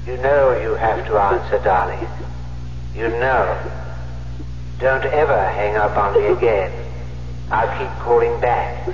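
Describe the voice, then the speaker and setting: An actor playing a dead husband's ghostly voice, accusing his wife with menacing tenderness in a 1970s radio drama. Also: on the low side. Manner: deliberate, insinuating, menacingly tender. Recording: muffled, noisy radio broadcast, long echo.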